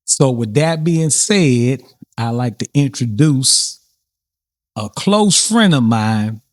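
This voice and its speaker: A man with a New Orleans accent hosts a casual podcast chat. He rambles easily, circling back with fillers and repeats, at a medium pitch. The sound is close and dry. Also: slow pace, no room sound. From New Orleans, USA. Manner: relaxed, repetitive, with many fillers and restarts. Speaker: podcast host.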